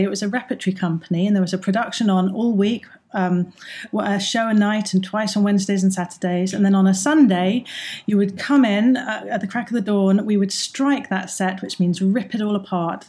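An enthusiastic woman, middle-aged, chatting about her life as a drama teacher. She talks in a lively, rambling way, full of ums and little laughs, at an even middle pitch. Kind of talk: interview guest, recounting her background.

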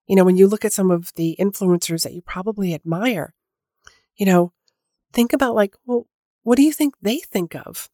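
A woman's voice: mid-range voice, darkish tone, dry recording, clean studio sound. A woman hosting a solo podcast, talking in a chatty way with lots of fillers and restarts, sounding a little nasal from a head cold. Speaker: podcast host. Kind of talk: casual solo podcast talk.

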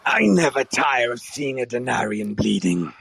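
An actor playing a gloating, tyrannical alien emperor in an audio drama, with a raspy, gravelly voice and a grandiose, menacing delivery. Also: male voice, mid-range voice, expressive, normal speed, heavy background noise. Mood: gloating menace.

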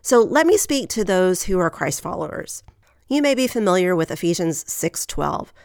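A woman hosts a faith podcast in an earnest, encouraging way, her voice nasal from a lingering head cold. There is a gravelly rasp under her somewhat low voice. The recording is close and dry, with no room sound. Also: very animated, normal speed, a little mellow, dry recording. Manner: earnest, encouraging, reads scripture aloud. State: getting over a head cold. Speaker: podcast host.

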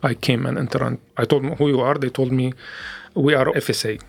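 A man with a Syrian Arabic accent, a native Arabic speaker, giving testimony in an interview in halting second-language English with frequent restarts. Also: mid-range voice, fairly even intonation, vocal fry, slightly rough. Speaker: interviewee, former activist.

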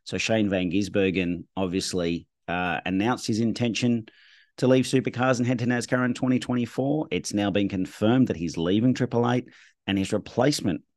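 A man with a hoarse, husky voice hosting a motorsport podcast in a relaxed, conversational way. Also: on the low side, no room sound, clean studio sound. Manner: conversational, measured. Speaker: podcast host. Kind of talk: casual motorsport chat.

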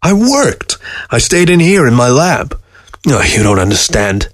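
A man's voice: medium pitch, big pitch swings, measured pace, no room sound, clean studio sound, voice pushed up. A man voicing a weary, grieving old man in a story, defensive and pleading. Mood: anguished, defensive. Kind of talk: storybook character dialogue.

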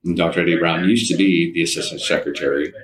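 Deep-voiced middle-aged man. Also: steady tone, quick pace. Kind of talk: casual personal storytelling in an interview.

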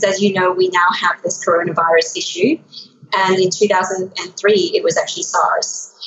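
A middle-aged woman, a native Burmese speaker who grew up in Hong Kong and Australia, talking conversationally as an interview guest in a slightly Australian accent. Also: mid-range voice. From born in Myanmar, grew up in Hong Kong and Australia. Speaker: guest, anti-aging physician. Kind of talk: conversational interview answers about own career. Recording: roomy echo, dull sound, faint noise.

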